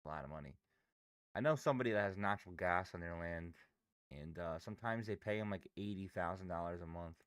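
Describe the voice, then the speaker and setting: Hoarse, chatty low-voiced trading streamer. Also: male voice, fairly quick, fairly bright tone, no room sound. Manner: chatty, banters with chat while reading charts. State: hoarse, losing his voice. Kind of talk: casual live-stream chat.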